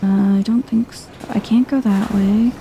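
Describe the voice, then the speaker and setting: A soft-spoken woman giving chill, casual game commentary in an ASMR vibe, murmuring as if to herself. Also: mid-range voice, fairly even intonation, moderate pace, creaky, a little hiss or hum, poor audio. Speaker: gameplay streamer.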